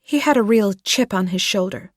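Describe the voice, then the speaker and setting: A woman voicing a grieving adult daughter with an American accent, speaking softly and wearily, often half-whispered. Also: medium pitch, normal speed, no echo, studio quality, voice pushed up, natural voice. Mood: weary.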